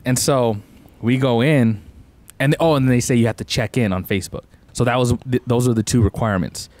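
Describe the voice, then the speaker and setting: A man's voice: medium pitch, brisk, darkish tone. A young man from Tucson, co-hosting a podcast and telling a rambling, laid-back story, often saying "bro". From Tucson, Arizona. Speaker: podcast co-host. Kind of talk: casual storytelling chat.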